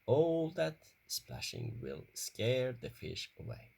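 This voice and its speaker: A man voicing a caring, gently teasing mother in a children's storybook, in a deliberate, plain-spoken way. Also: medium pitch, wildly swooping pitch, vocal fry, breathy edge, full character voice.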